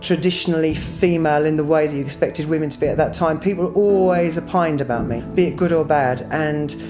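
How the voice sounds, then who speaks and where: A woman from England with a deep voice, candidly reflecting on her past in an interview. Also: fairly quick, over music. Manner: candid, rambling self-reflection.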